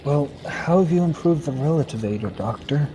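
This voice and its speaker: A man's voice: fairly high pitch, animated, moderate pace, dark tone, a little hiss or hum, close to an everyday voice, low-quality recording. A young man playing a restless, eager space adventurer in an old-time radio serial. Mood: casual, curious.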